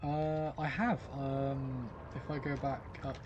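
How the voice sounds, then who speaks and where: A man's voice: medium pitch, flat delivery, slow, dull audio, noisy background. Chatty British man streaming a game. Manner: chatty, greets viewers by name, goofy. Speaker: livestream gamer. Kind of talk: casual live-stream chat while gaming.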